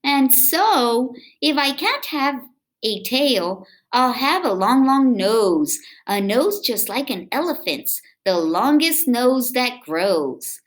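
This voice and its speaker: Expressive woman reading aloud to children, unhurried. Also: fairly high pitch. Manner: drawn-out words, addresses young listeners with questions. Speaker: read-aloud teacher or reader for children. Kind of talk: expressive picture-book read-aloud with chatty asides to children.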